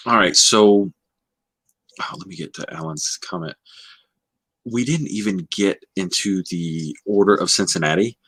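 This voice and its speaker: Male book livestream co-host, deep voice, dry close sound, halting casual delivery. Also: fairly bright tone, breathy edge, no echo. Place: indoors, livestream call.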